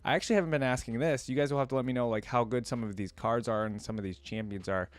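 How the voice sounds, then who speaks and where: A man's voice: medium pitch, fairly even intonation, fast, no echo. A young man hosting a reaction video, commenting casually and musing aloud as he goes. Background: faint music.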